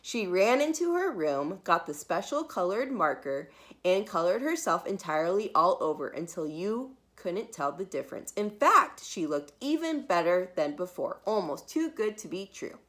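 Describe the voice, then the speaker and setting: A woman reads a picture book aloud to young children. Her voice is bright and swings freely up and down. She is expressive and emphatic, leaving dramatic pauses as she tells the story. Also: fairly low pitch. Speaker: preschool teacher reading a children's book aloud.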